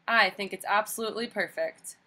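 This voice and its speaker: A woman voicing a kindly grown-up female music teacher mouse in a picture book, deliberate and reassuring. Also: medium pitch, pushed and bright, natural voice.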